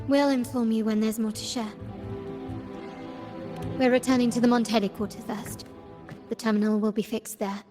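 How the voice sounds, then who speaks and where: A woman playing a composed, analytical young heiress in a video game, speaking in a measured, formal way. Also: fairly high pitch, steady tone, big-room sound, music in the background, natural voice. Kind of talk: scripted game dialogue.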